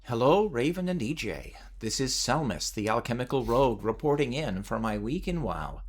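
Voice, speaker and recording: Male contributor, average pitch, reading a prepared update for a podcast. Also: sing-song, normal speed, husky. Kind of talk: scripted report.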